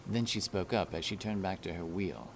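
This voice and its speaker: A man's voice: low voice, moderate pace, creaky, slight background noise. A man giving scripted narration of a fantasy story as its narrator.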